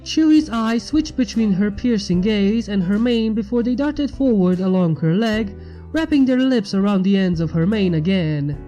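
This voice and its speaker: Mellow-voiced woman narrating a story. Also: mid-range voice, animated, normal speed, faint music behind, rough recording. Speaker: fan fiction reader.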